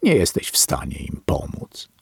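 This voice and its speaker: A man narrating a philosophical text in a solemn, deliberate, sermon-like reading. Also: rumbling low, huge pitch swings, vocal fry, slightly rough, no echo, studio quality. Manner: slow, weighty and deliberate, like a sermon.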